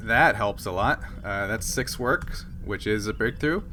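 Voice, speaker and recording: A man's voice: lowish voice, measured pace, pushed and bright, a little hiss or hum. A man running a tabletop role-playing game, casually giving rulings and narrating. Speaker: game master. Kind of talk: casual rules talk and narration.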